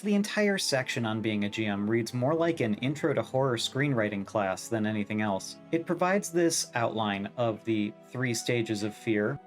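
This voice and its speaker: A video essayist of mid pitch narrates over soft music. The delivery is scripted but rambles into casual, self-deprecating asides, with some casual swearing.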